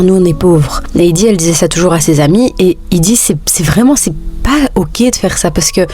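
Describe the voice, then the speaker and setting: Woman, 30s, vlogger, sassy joking chat, lower voice, mixes in Dutch phrases. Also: a little mellow. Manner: playful, sassy, jokes often; switches between French and Dutch. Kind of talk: casual chatty vlog.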